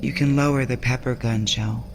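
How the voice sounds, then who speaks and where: An actor playing a shrewd, brassy flophouse keeper in a sci-fi audio drama, sardonic and streetwise, full of familiar endearments. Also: male voice, medium pitch, steady tone, normal speed, creaky, natural voice. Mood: wary, dry. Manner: sardonic, streetwise, calls people sweetness and baby. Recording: loud music bed under dialogue.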